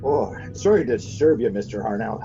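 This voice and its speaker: A man's voice: on the low side, expressive, brisk. An actor playing a genial, aging beat policeman in 1940s radio drama style, hesitant, folksy and polite. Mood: apologetic, friendly. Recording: dull old radio recording, music behind.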